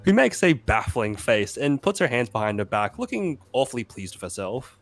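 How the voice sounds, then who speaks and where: A soft-spoken man reading a visual novel aloud and chatting casually, swearing now and then. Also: medium pitch, sing-song, normal speed. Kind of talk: narration mixed with casual banter.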